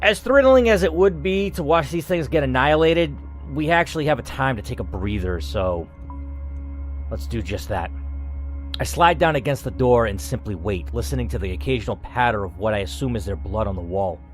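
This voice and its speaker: A man's voice: medium pitch, lively intonation, brisk. A man reading an interactive story aloud as a let's player, dramatic, with casual asides. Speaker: video game let's player and narrator. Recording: music under the voice. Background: music.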